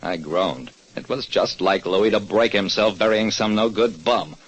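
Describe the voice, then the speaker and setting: A man's voice: lowish voice, a little slow, slightly rough, low-quality recording. An actor playing a wry, hard-boiled private detective who narrates his case tersely in an old radio drama. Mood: wry, dramatic.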